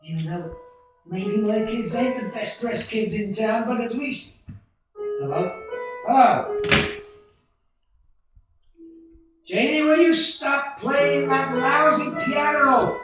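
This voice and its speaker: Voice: medium pitch, slow pace, music in the background, close to an everyday voice. An actor playing a desperate, short-tempered family man in a 1940s-style radio play, recorded in a very echoey room. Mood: furious. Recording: very echoey room, distant from microphone.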